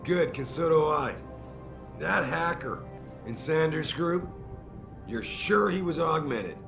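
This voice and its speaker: A blunt corporate boss. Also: male voice, on the high side, expressive, slow, vocal fry, music in the background, heavily acted. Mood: stern. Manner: blunt, clipped orders. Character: powerful corporate boss, middle-aged man, commanding. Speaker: video game cutscene character. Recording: game cutscene with loud score.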